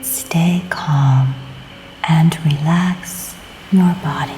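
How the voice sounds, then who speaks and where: A woman narrating a scripted guided meditation in a soft, breathy, often near-whispered voice, calm and soothing, in short deliberate phrases. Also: low-pitched. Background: music.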